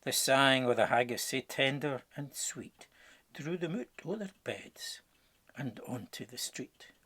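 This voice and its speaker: A man with a Scottish accent narrating a rhyming storybook in Scots with a theatrical, expressive delivery. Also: medium pitch, unhurried. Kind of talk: dramatic rhyming verse storytelling.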